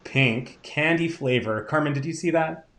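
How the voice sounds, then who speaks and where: A man's voice: on the high side, normal speed, fairly bright tone, roomy sound. A man co-hosting a casual chat show from home over a video call, upbeat and chatty. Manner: upbeat and chatty, laughs often. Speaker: co-host.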